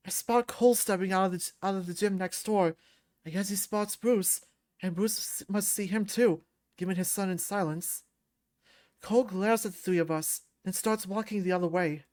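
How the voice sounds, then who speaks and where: A woman's voice: medium pitch, moderate pace, a little mellow, no room sound. A woman reading a visual novel aloud as a narrator.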